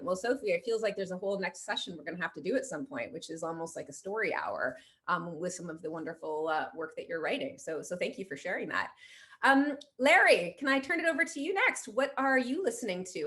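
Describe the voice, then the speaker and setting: A woman's voice: fairly low pitch, lively intonation, quick pace, a bit bright. A woman hosting a panel over a video call, inviting guests to introduce themselves with encouraging remarks. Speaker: host, moderator. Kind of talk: moderating a panel.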